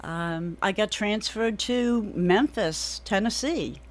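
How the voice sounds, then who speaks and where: Measured middle-aged woman with a New England accent. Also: medium pitch. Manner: measured, hesitant with many ums. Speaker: political candidate, radio guest. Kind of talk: radio interview. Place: radio studio.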